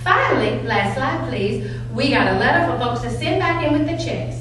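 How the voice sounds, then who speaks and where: An actress playing a brisk, folksy, condescending county official in a staged play reading. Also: female voice, on the high side, expressive, natural voice. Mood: brisk, patronizing. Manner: chatty, bossy, folksy, patronizing. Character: brisk, folksy, condescending 1960s county eugenics coordinator woman. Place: large room at a live stage reading. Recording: echoey hall from far off, faint hum.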